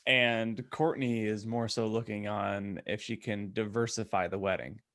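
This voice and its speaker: A man's voice: on the low side, steady tone, unhurried, a bit bright. A man co-hosting a podcast, chatting casually with short joking remarks. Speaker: podcast co-host. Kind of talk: casual group banter.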